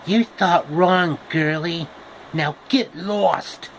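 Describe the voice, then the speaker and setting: Man putting on a creepy, drawn-out grumpy talking tree. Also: on the high side, slight background noise, voice pushed up, rough recording. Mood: menacing, grumpy. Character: grumpy talking apple tree imitating a creepy classic-film actor.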